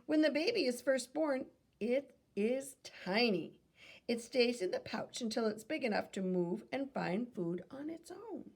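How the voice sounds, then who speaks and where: A woman reading a children's nonfiction book aloud in a teacherly way, pausing for questions and small self-corrections. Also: fairly high pitch, animated, creaky. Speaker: read-aloud reader and teacher.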